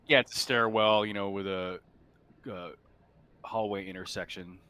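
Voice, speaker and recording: A man's voice: fairly low pitch, fairly even intonation, normal speed, sharp tone, smooth, dry recording. A man narrating a tabletop roleplaying game as game master, improvising the scene.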